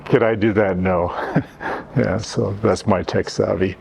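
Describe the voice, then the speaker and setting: A man's voice: lowish voice, steady tone, a little slow, gravelly, a little mellow, a little hiss or hum. Hesitant man in a casual interview. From Canada. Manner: hesitant, many restarts and fillers. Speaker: interviewee, event organizer.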